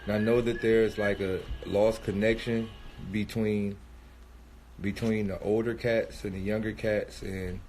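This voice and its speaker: A man in his mid-thirties talks casually into his phone, slightly sniffly from a cold. His voice sits a bit low, and he rambles, circling back on himself while he works through his thoughts. Faint music plays underneath. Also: little pitch movement, measured pace, darkish tone. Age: 30s. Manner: loose and rambling, thinking aloud with many fillers and restarts. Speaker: rapper streaming live. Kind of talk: casual livestream monologue.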